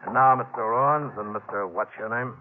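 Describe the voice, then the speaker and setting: An actor playing a cold outlaw gang boss in a 1950s radio western, curtly barking orders with menace. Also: male voice, medium pitch, a little fast, close to an everyday voice. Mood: commanding. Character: cold commanding male outlaw gang boss posing as sheriff. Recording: muffled, noisy 1950s radio recording.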